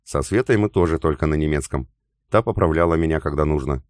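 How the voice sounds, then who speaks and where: Man, deep voice, dry close recording, audiobook narration, steady pace. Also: quick pace, dry recording. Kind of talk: first-person scripted narration.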